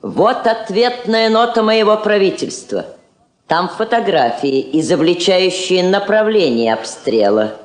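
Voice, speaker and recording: A woman's voice: fairly low pitch, sing-song, a little slow, echoey room. An actress playing a firm, sardonic Soviet woman ambassador in a radio drama, speaking formally with dry irony. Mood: cool, firm. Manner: formal, declamatory, drily ironic.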